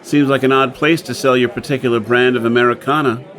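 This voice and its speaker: An actor playing a sardonic gentleman adventurer with a measured, deadpan manner in a radio drama. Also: mid-range voice, lightly acted. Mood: politely skeptical. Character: dry, sardonic gentleman adventurer, the professor's partner.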